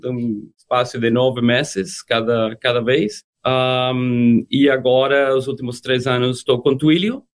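A middle-aged man from Venezuela, a native Spanish speaker with a Spanish accent, talking as a podcast guest in a relaxed, good-humored way with frequent hesitations. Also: medium pitch, unhurried, hardly any pauses. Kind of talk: casual interview chat.

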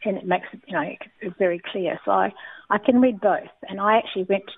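A woman calling in to a radio show, chatting warmly with frequent laughs and restarts. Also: medium pitch, fast, creaky, slightly rough, no echo. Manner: laughs often, enthusiastic, restarts words. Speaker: caller. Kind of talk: casual chat on a phone call. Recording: phone line.

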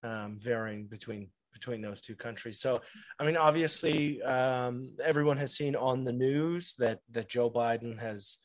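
A man interviewing a guest, asking measured, articulate questions. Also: mid-range voice, fairly even intonation, dry recording.

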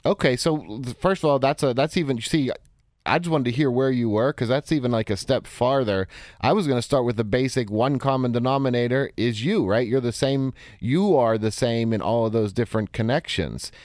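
A man with a hoarse, strained voice hosting a call-in advice show from a radio studio, coaching callers with direct questions. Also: medium pitch, brisk, a little mellow, dry recording. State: hoarse, voice strained from a neck injury. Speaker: radio call-in host and therapist.

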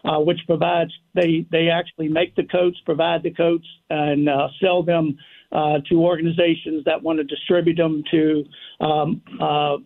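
A man calling in as a radio guest, speaking haltingly with many fillers. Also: little pitch movement, creaky. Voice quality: deep voice. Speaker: firefighters' union president, radio guest. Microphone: phone line. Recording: tinny phone line.

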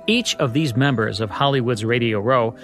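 A man's voice: medium pitch, normal speed, faint music behind. An American man reading scripted narration as the host of a radio show.

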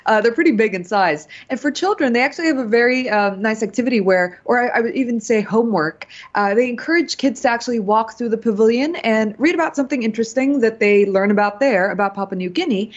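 A woman's voice: a little high, fast, few pauses, highs cut off. A woman reporting in a radio chat segment, explaining at length with many fillers.

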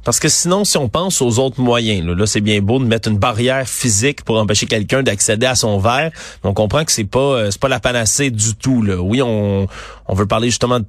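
A man's voice: medium pitch, fairly quick, no echo, clean studio sound. A man with a Québécois French accent hosting a radio interview, asking long conversational questions full of casual tags.

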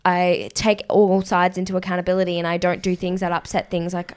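A woman with a slightly low voice, co-hosting a casual podcast. She talks bluntly and sweary, packing her speech with "like" as she rants. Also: level tone, a little fast, clear, resonant tone. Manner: frustrated, blunt, sweary ranting. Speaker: podcast co-host. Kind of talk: casual chat.

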